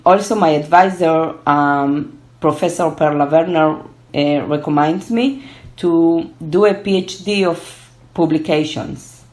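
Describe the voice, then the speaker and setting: A young woman from Argentina speaks English with an Argentine Spanish accent, her first language being Spanish. Her voice sits low and she talks at an unhurried pace, pausing often with fillers and restarting phrases as she answers questions. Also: a little mellow. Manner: hesitant, many fillers and restarts. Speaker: guest, gerontology researcher. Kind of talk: casual interview.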